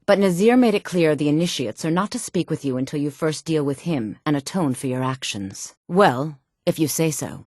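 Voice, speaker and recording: A sultry townswoman from a fantasy game. Her voice sits low and swings widely in pitch, recorded dry and close. She sounds self-possessed, knowing and flirtatious. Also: a little mellow, no echo. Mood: cool, formal. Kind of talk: scripted video game voice lines.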